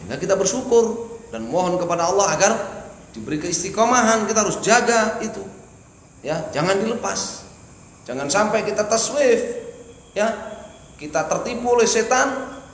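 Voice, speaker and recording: A man's voice: sits high, lively intonation, moderate pace, long pauses. A man giving a mosque study lecture, reading Arabic phrases and explaining each in Indonesian, asking the audience questions and answering them himself. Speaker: religious teacher. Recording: echoey hall with faint hiss.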